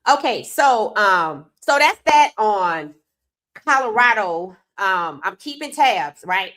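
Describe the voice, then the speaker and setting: A woman hosts a sports podcast in a loose, conversational way, talking straight to her audience. She is a little congested from a cold, which gives her voice a stuffy edge. Her pitch sits in an ordinary middle range. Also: big pitch swings, takes it slowly, fairly bright tone. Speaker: podcast host. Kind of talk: casual sports commentary.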